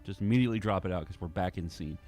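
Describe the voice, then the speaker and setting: A man's voice: low voice, rapid-fire, a little mellow. A man giving a casual walkthrough of his audio drama editing, thinking aloud in fragments. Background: film score music.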